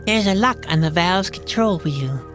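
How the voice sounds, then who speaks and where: A woman's voice: lowish voice, expressive, moderate pace, lightly acted. Wry, anxious young escapee, played by a woman, music behind. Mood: musing. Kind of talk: video game adventure dialogue.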